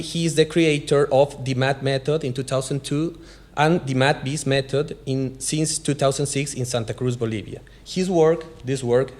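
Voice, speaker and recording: A man formally introducing a guest lecturer, reading from notes. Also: fairly high pitch. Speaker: presenter introducing the speaker.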